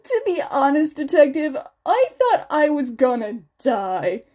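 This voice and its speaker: A woman voicing a shaken, earnest male hero teacher who recounts events in a halting, emphatic way, in an audio fan fiction reading. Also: sits high, very animated, a little mellow.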